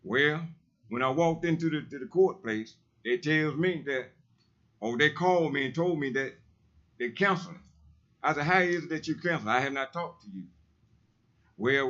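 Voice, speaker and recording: Guy, big heavy loud voice, preachy and insistent, kinda muffled meeting audio. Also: a little high. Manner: emphatic, repetitive, preacherly insistence. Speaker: resident speaking at public comment. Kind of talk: public comment at a council meeting.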